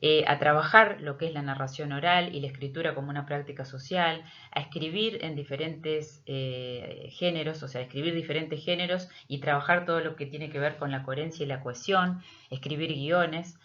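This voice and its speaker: A middle-aged Argentine woman, a teacher, explaining a project in a prepared but hesitant, measured presentation with frequent pauses. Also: deep voice, steady tone, fairly bright tone. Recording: dull, lo-fi audio.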